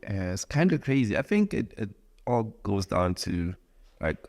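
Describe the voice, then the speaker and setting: A man from South Africa, a musician, talking casually as a podcast guest in an informal, relaxed way. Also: fairly low pitch, moderate pace. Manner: relaxed, informal, uses slang. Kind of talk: casual interview chat.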